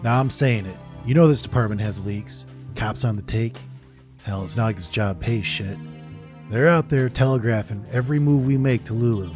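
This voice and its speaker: An actor playing a wisecracking, weary police detective in an audio drama, sardonic and profane. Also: male voice, mid-range voice, normal speed, over music, natural voice. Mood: frustrated.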